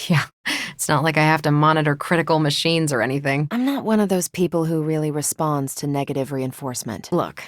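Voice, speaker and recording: Casting: confident American video game superheroine, ex-military pilot, lowish voice, dry wit, close dry sound. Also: female voice, no room sound. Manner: dry, wisecracking, self-assured. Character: confident, wry superheroine, ex-Air Force pilot, woman.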